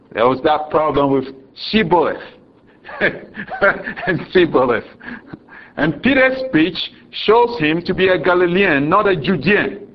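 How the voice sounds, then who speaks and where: A Ghanaian man delivers a sermon at an unhurried, measured pace, his voice sitting a little high for a man. He chuckles often between points and sinks lower for the solemn passages. The recording is dull and lo-fi. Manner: slow, deliberate preaching with frequent chuckles, dropping low for solemn lines. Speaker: preacher.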